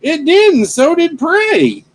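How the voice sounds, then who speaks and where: A man in his fifties with a fairly high, bouncy voice that rises and falls a lot. He talks casually and bluntly about movies, stumbling and restarting as he goes. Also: sing-song, takes it slowly. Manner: opinionated, hesitant restarts. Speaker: podcast co-host.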